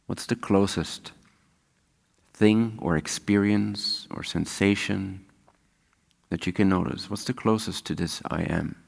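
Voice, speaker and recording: A man leading a guided meditation as a spiritual teacher, speaking calmly and unhurriedly with long pauses. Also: low-pitched, creaky. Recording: long hall-like reverb.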